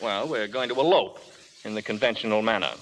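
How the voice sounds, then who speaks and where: An actor playing a wry, world-weary, romantic film star in old radio drama style, suave and understated. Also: male voice, fairly low pitch, a little fast, fairly bright tone, a little hiss or hum, natural voice, rough recording. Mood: wry, playful. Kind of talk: 1930s-40s radio drama acting. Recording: old radio recording with faint music.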